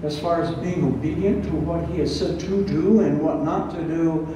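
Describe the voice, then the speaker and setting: A man in his sixties preaching an expository Bible study, reading and explaining scripture in a deliberate, teacherly way with rhetorical questions. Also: on the high side, steady tone. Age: 60s. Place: barn hall with a stage, indoors. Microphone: headset. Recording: roomy, echoing, distant sound with faint noise.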